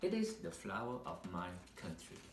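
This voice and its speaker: A man reading a children's picture book aloud in an expressive, deliberate storytelling manner with dramatic pauses. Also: lowish voice. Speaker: storybook reader. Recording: roomy echo with faint background noise.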